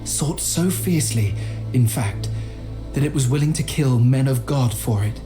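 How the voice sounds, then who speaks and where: Earnest medieval scholar played by a man, mid-pitched voice. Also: music in the background, close to an everyday voice. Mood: solemn, reflective. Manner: formal, measured, literary. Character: earnest, learned, timid medieval scholar and chronicler. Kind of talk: dramatic journal narration in a video game.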